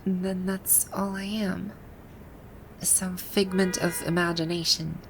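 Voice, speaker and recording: Woman acting a haughty, slow-spoken villainess boss. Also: lowish voice, level tone, noisy background. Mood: dejected. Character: haughty, easily flustered female video game final-boss villain. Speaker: voice actor. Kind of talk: ASMR roleplay acting.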